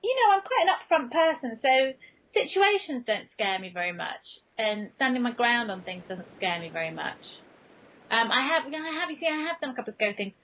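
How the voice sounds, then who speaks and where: A woman with an English accent talks casually as a guest on a podcast. Her voice sits fairly high, and she is frank, chatty and self-deprecating. The sound is dull and low in fidelity. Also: animated, fairly quick. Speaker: guest author being interviewed. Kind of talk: casual chat. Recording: dull, lo-fi early podcast recording.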